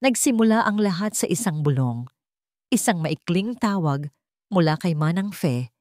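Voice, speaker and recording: A woman's voice: fairly low pitch, lively intonation, normal speed, a little mellow, no echo, studio quality. A woman reading out a dramatic story as its narrator, in scripted storytelling style.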